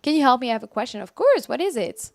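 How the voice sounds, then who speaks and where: A woman's voice: high-pitched, very animated, fast talker, vocal fry, dry recording, studio quality. A woman in her early twenties chatting casually as a game streamer, reading out and answering viewer messages. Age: early 20s. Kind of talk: casual livestream chat.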